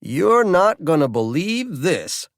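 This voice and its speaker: Audiobook role: male narrator as jaded middle-aged warden, excitable, emphatic, voice pushed high, dry studio sound. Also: takes it slowly, no room sound, heavily acted. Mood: excited, urgent.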